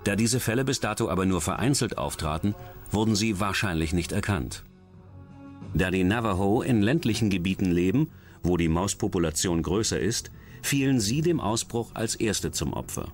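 Male narrator, low and expressive, measured dramatic documentary read, faint music underneath. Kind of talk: scripted true-crime science documentary narration.

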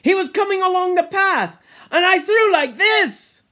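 A man voicing an excited, boastful young boy hunter in a novel reading, insistent and emphatic. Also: extremely high pitch, slow, pitched above the performer's own voice, heavily acted. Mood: indignant. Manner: loud, breathless, emphatic exclamations.

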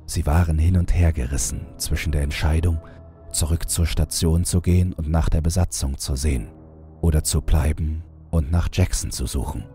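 A man's voice: a bass voice, fairly even intonation, normal speed, mellow, faint music behind. A man reading scripted first-person horror fiction as an audiobook narrator.

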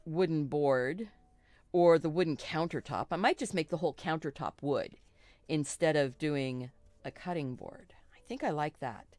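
A middle-aged woman with a slightly froggy voice, hosting a relaxed live painting lesson and chatting reassuringly with little asides and self-corrections. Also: sits low, normal speed, no room sound. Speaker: art teacher hosting a live stream.

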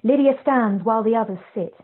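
A woman with a slightly high voice reads scripted narration. The sound is thin and phone-like, as if sent down a narrow call line. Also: fairly quick, slightly rough. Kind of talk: scripted stage-direction narration. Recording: tinny, phone-like video-call audio.

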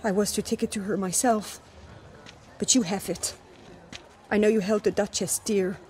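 An actress playing a humble, mournful flower seller in a video game, plain-spoken and wistful, in short phrases. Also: female voice, medium pitch, fairly even intonation, moderate pace, a little mellow, a little hiss or hum, close to an everyday voice. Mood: kindly, wistful.

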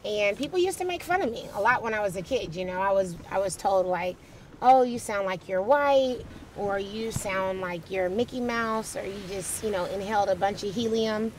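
A woman in her thirties tells how she started her company, with a high-pitched voice. She talks candidly and conversationally, with plenty of fillers. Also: animated, normal speed, a little hiss or hum, low-quality recording. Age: 30s. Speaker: startup founder. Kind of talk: personal story told in interview. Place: studio set with leafy wall.

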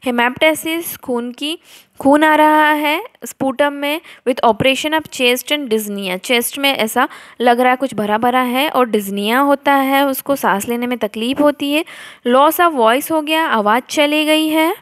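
High-voiced Indian woman giving a lecture. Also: slow. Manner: switches between reading out and explaining. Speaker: homeopathy lecturer. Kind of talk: explanatory lecture, reading notes aloud and explaining.

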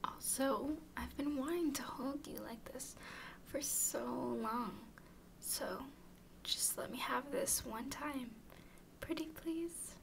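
Woman acting as a high-voiced, slow-talking, clingy teasing girl. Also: full character voice. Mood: tender. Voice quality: soft, breathy whispering. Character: tall, clingy, playfully teasing young woman smitten with her friend. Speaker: ASMR roleplay performer. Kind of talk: intimate ASMR roleplay, often whispered. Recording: faint background noise.

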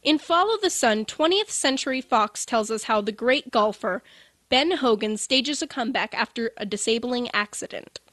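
A woman's voice: on the high side, expressive, moderate pace, a bit bright, no echo, studio quality. A woman as a Hollywood reporter in scripted 1950s radio style, polished and gushing.

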